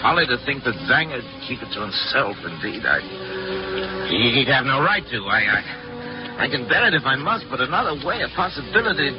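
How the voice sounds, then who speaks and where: An actor playing a tormented, guilt-ridden doctor and husband in 1940s radio suspense, halting and repeating himself. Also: male voice, medium pitch, sing-song, over music, close to an everyday voice. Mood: anguished, brooding. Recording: muffled, noisy 1940s radio recording.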